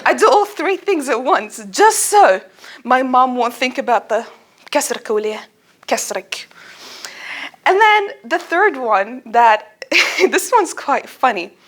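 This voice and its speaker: A young woman with a fairly high voice gives a light, humorous speech about her own life. She is a native Arabic speaker. She tells it expressively, like a storyteller acting out small moments for her listeners. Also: slow. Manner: expressive, animated storytelling with acted-out asides. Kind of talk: humorous personal speech to an audience.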